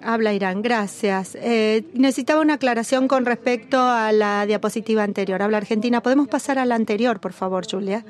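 A woman interpreting a formal meeting as it happens, in a measured, halting delivery that stops often to catch up with the speaker. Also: a little high. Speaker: simultaneous interpreter at a policy meeting.

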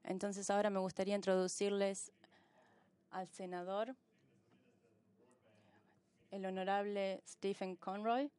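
Smooth-voiced woman interpreter with even, halting delivery. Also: mid-range voice. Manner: halting, piecemeal phrasing with self-corrections. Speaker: simultaneous interpreter. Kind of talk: live interpretation of conference speeches.

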